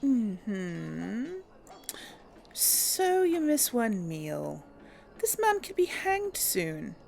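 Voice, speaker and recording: A woman gives casual, chatty commentary over a video game and laughs often. Her voice has a lot of vocal fry and a lively, swooping pitch. She talks at a slow, unhurried pace. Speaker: game streamer. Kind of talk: casual let's-play commentary with in-character lines.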